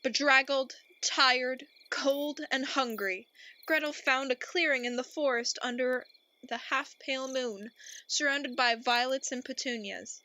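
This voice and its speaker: A woman playing an earnest, easily exasperated storyteller in a radio play, with a theatrical, deliberate delivery. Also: high voice, bright, forceful tone. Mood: grave, dramatic. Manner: melodramatic, deliberate.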